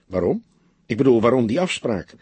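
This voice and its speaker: A man voicing a patient male police detective who asks short, probing and sometimes gentle questions in an audiobook. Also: mid-range voice, sing-song, moderate pace, breathy edge, dry recording. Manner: brief probing questions, hesitant and gentle at times. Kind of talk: audiobook character dialogue.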